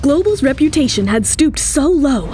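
A woman's voice: high-pitched, big pitch swings, moderate pace. A woman delivering dramatic scripted narration in an emphatic storytelling manner. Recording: music under the voice. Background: dramatic background music.

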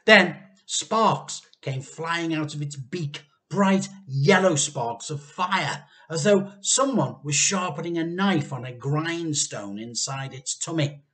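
Male teacher as storyteller, fifties: animated, emphatic, forceful, expressive narration at a home webcam. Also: on the high side. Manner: animated, emphatic, drawn-out key words. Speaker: teacher reading aloud. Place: small living room indoors.

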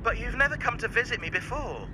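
An earnest, cheerful nephew in an audio drama. The voice is high for a man and lively in pitch, pleading, with clear street and car noise behind. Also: fast. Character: cheerful, earnest nephew who loves Christmas. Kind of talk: modern audio drama acting.

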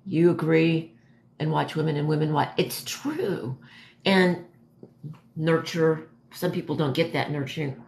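Hoarse young woman on a livestream. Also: low-pitched, reverberant, a little hiss or hum. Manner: chatty, rambling, self-correcting. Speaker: livestream host. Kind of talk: casual livestream chat reading comments. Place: dim home room at night. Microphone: phone camera at arm's length.

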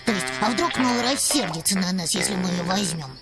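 A man's voice: sits high, animated, brisk. A man voicing a dim, timid, chubby troll sidekick in a dubbed cartoon, hesitant and fawning. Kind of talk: animated film dub. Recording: loud music behind the voice.

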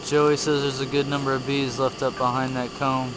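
A man narrates in a flat, even voice, calling out each step as he films. The camcorder audio carries a clear hum and steady noise from outdoors. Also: mid-range voice, normal speed. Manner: plain, matter-of-fact play-by-play. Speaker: camera operator narrating a bee removal. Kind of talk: casual running commentary. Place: outdoors under trees at a bee removal. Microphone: handheld camera mic.

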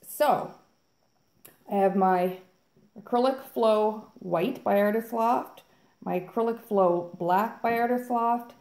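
A woman's voice: medium pitch, gravelly, a little mellow, reverberant. A woman hosting a fluid art channel, chatting casually and upbeat to viewers while she mixes paint. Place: home art studio, indoors.

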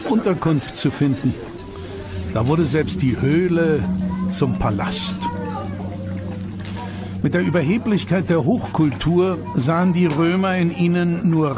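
Male narrator, fairly high voice. Deliberate, dramatic documentary storytelling over prominent, loud music. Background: loud background music.

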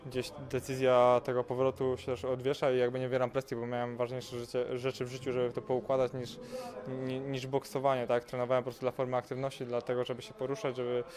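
Young male boxer, flat delivery, halting with fillers, handheld mic at an event. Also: mid-range voice. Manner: hesitant, many fillers and repeated words. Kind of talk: casual interview answers. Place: event press wall, indoors. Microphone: handheld mic held by interviewer.

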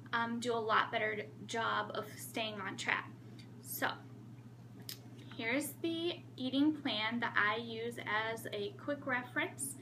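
Bright-voiced woman vlogging at home. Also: a little high, a little hiss or hum. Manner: chatty, upbeat, with frequent ums. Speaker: flight attendant vlogger. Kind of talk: casual how-to vlog. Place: small room at home, indoors.

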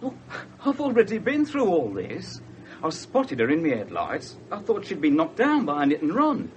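An irritable, high-voiced motorist. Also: male voice, huge pitch swings, normal speed. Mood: indignant. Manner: indignant, complaining. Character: irritable, evasive male motorist with something to hide. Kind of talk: 1970s British radio drama acting. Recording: 1970s radio broadcast with faint hiss.

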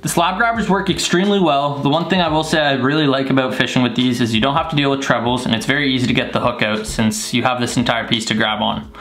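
A young man in his twenties casually explaining gear to the camera from a small bedroom, relaxed, with frequent fillers. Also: mid-range voice, quick pace, few pauses, faint music behind. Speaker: fishing channel host. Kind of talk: casual tutorial vlog.

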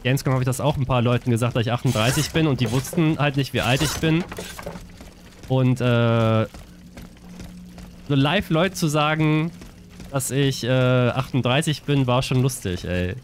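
A man's voice: mid-range voice, background noise. Chatty man in his thirties streaming. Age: 30s. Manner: chatty, rambling, self-deprecating; reads game text aloud haltingly. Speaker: let's play streamer. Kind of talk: casual gaming commentary. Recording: game music behind voice. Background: game music.

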